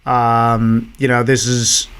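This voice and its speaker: A man's voice: mid-range voice, monotone, slow pace, a bit bright, clear, resonant tone. Man with a Massachusetts accent. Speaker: podcast co-host. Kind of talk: casual chat reading out local event listings.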